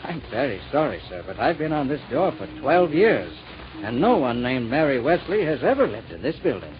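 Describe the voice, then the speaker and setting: A man's voice: medium pitch, very animated, normal speed, close to an everyday voice. An American actor playing a polite, flustered doorman in an old radio drama, deferential and hesitant but stubborn. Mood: apologetic. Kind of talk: 1940s radio acting. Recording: muffled old radio recording.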